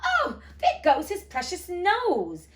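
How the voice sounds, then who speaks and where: A woman's voice: extremely high pitch, huge pitch swings, takes it slowly, voice pushed up, exaggerated voice. A woman voicing a polite, earnest young storybook girl who is eager to show off. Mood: frantic.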